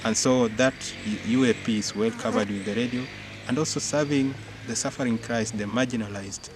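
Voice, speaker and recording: A young man, a Jesuit novice, speaking earnestly and in measured fashion about his formation, with frequent fillers. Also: mid-range voice, fairly even intonation, music in the background. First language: not English-speaking only; English speaker. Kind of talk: reflective interview testimony. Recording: clear insect and cricket noise behind.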